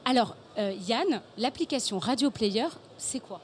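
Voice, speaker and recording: Woman host, thirties, voice a bit high, handheld mic, event noise behind her. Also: expressive, measured pace. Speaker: interviewer and host. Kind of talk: promotional interview hosting. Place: exhibition studio stand at a motor show. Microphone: handheld microphone. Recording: clear background ambience noise.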